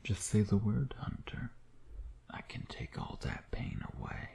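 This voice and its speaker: A man acting a slow, deep-voiced seductive vampire. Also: huge pitch swings, creaky, mellow, whispered, heavily acted. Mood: hungry, intimate. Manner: slow, teasing, murmuring with pet names. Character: suave predatory male vampire, teasing and seductive. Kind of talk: intimate ASMR roleplay.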